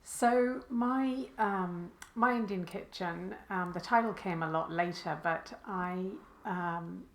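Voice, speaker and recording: A woman from Malawi, a guest author, answering interview questions hesitantly with many pauses and restarts. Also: lowish voice. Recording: echoey room.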